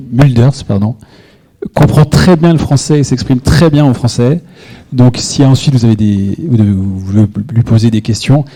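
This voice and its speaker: A man's voice: mid-range voice, warm tone. A man moderating a public round table, formally introducing the speakers. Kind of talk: moderating a panel.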